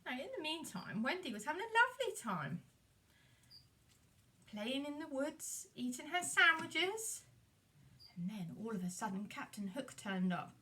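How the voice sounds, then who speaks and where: Animated woman telling a children's story. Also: high-pitched, a little slow, recorded from a distance. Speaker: puppeteer and storyteller.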